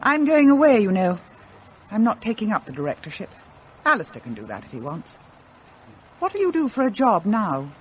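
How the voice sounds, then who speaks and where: A woman's voice: mid-range voice, wildly swooping pitch, normal speed, pauses often, breathy edge, muffled recording. A woman playing a magnate's cool, blunt grown daughter in a radio drama, direct and dismissive. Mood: probing, dismissive.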